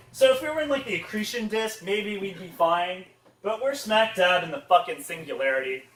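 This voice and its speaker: A man's voice: high voice, fairly bright tone, slight background noise. A man giving a casual, profane comic slide lecture as a convention panelist, sounding mock-exasperated. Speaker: comedy panelist at a fan convention. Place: indoor convention panel room. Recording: echoey room, distant from microphone.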